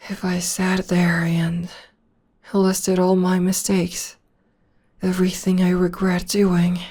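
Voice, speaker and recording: A young woman plays a devoted witch girlfriend in a soothing ASMR roleplay, murmuring and whispering close to the listener. Her voice sits low and airy, and she speaks slowly. It stays even and calm, with little rise or fall. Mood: tender, soothing. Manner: soft, soothing, often whispering. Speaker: voice actor.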